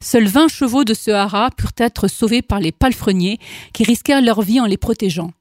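A woman hosting a radio show, reading an article aloud in a measured, careful way with occasional apologetic asides, in a studio. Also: fairly high pitch, darkish tone, no echo.

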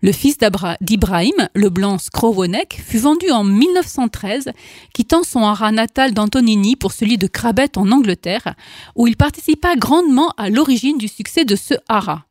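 A woman hosting a radio show, reading an article aloud in a measured, careful way with occasional apologetic asides, in a studio. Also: fairly high pitch, expressive, darkish tone, no room sound.

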